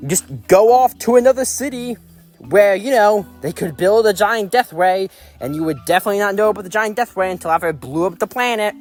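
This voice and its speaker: A man's voice: high-pitched, fairly quick. A man giving casual, rambling opinion commentary as a video reviewer, in a critical, exasperated manner. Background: background music.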